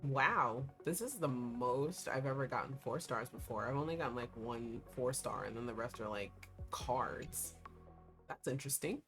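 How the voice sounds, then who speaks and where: A young woman streaming a game, her voice hoarse and giving out, chatting in a rambling way with lots of fillers. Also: very deep voice, lively intonation, normal speed, fairly bright tone. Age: young adult. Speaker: VTuber streamer. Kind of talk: casual livestream chat while playing a gacha game. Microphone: desk streaming mic. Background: game music.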